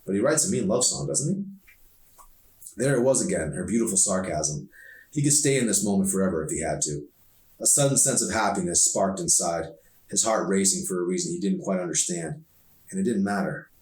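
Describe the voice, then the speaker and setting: A man reading his own novel aloud in an expressive storytelling style, recorded in an echoey room. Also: low voice, brisk. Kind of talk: expressive audiobook-style narration. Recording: echoey room, distant from mic.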